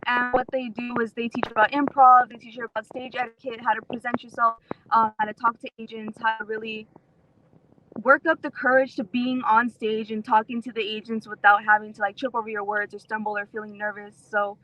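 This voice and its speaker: A woman's voice: fairly high pitch, little pitch movement, a little fast, bright, forceful tone, slight background noise, rough recording. A woman from southern Texas, an interview guest explaining her background in a casual, storytelling way. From Rio Grande Valley, Texas, USA. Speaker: interview guest, author and actor.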